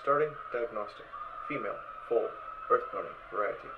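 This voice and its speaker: A man's voice: mid-range voice, a little fast, lightly acted. A man playing a metallic onboard computer in a sci-fi audio drama, male-voiced and impassive. He gives status reports in clipped procedural phrases, on a dull, slightly noisy recording. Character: impassive robotic spacesuit computer system. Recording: dull recording with faint noise.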